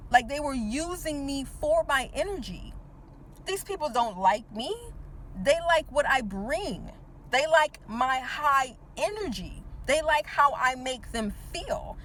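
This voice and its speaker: A woman's voice: sits high, a bit bright, a little hiss or hum. A woman in her forties talking to the viewer in a casual motivational monologue, often checking in with "right?" and repeating words for emphasis. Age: 40s. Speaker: teacher and motivational speaker.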